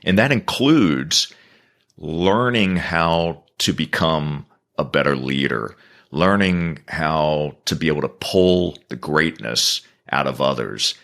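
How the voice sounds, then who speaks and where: A man answering as a guest on a business podcast, earnest and measured, building his points in repeated phrases. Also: rumbling low, gravelly, fairly bright tone.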